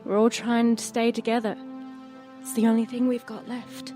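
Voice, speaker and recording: A woman's voice: fairly high pitch, flat delivery, moderate pace, mellow, natural voice. Woman doing a tough but kind street musician in a cyberpunk game, slangy and sure of herself, faint music. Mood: reassuring. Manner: casual, slangy, assured.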